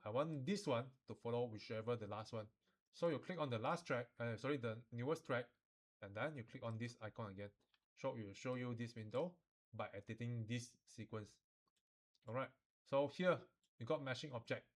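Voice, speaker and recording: A man giving an informal, colloquial walkthrough as a software tutorial instructor. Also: mid-range voice, moderate pace. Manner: colloquial, with sentence-final particles and Chinese-English code-switching. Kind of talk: informal screen-recorded software demo.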